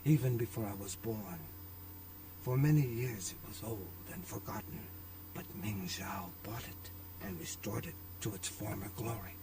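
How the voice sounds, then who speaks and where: A man's voice: fairly low pitch, far from the mic, noisy background, natural voice. An actor voicing a gracious, formal older businessman, a courteous father figure in a video game. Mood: solemn. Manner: formal, courteous, measured wording.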